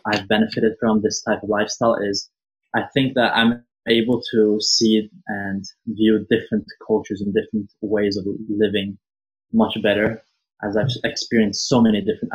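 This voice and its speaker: A nineteen-year-old man from Norway speaks as an interview guest, his voice sitting fairly low. He talks earnestly and thoughtfully, pausing on fillers as he works through his ideas. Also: rough recording. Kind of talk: casual interview conversation.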